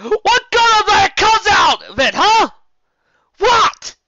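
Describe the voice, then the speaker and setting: Reader doing a high, strained, grieving farm pony mare, storybook. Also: big pitch swings, slow pace, dry recording, highs cut off, heavily acted. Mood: anguished. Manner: crying out in grief, rising to shouts.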